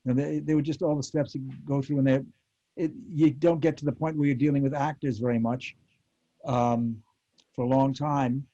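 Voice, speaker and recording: An older American man reminiscing in a panel interview, speaking in a halting, reflective way. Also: mid-range voice, little pitch movement, mellow, lo-fi sound. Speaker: panel guest, former child actor and TV director.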